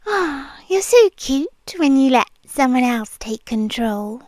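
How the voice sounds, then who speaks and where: Brief: British woman as a controlling nurse, breathy ear-close whispers, very slow, high voice. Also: big pitch swings, a little mellow, no echo. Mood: coaxing. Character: possessive female nurse, soft and controlling. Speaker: ASMR roleplay performer. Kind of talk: whispered ASMR roleplay.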